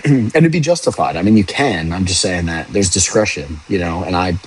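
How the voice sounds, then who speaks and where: A man's voice: low-pitched, lively intonation, moderate pace, slightly rough, low-quality recording. A young man who works as a police officer, chatting casually and frankly on a livestream, rambling and swearing now and then. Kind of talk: casual livestream chat answering viewers.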